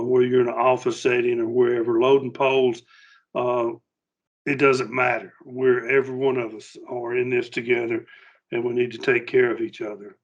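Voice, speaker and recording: A man with a Southern US accent giving an informal safety briefing to utility line workers in a halting, plain-spoken, earnest way. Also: mid-range voice, highs cut off.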